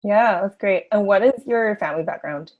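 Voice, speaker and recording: A woman's voice: mid-range voice, normal speed, phone-quality sound. A woman hosting an interview who asks questions and encourages her guest with short replies. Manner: encouraging, many short backchannels. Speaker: host.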